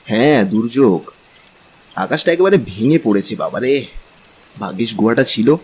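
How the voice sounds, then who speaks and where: A man playing a young, easily exasperated husband in an audio drama, speaking in an expressive, theatrical way. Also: fairly low pitch, normal speed, slight background noise, close to an everyday voice. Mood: worried. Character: young middle-class husband, grumbling, exasperated traveller.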